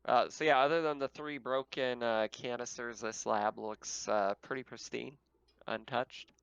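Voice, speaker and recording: A man speaking as game master, narrating a tabletop roleplaying game in a halting, conversational, descriptive way. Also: medium pitch, steady tone, vocal fry, a bit bright, clear, resonant tone, no room sound, muffled recording.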